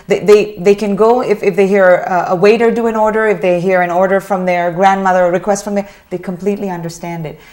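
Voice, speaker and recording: A woman's voice: medium pitch, a little mellow. A woman hosting a television panel discussion, asking thoughtful questions that hedge and restart with many fillers. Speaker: TV talk show host.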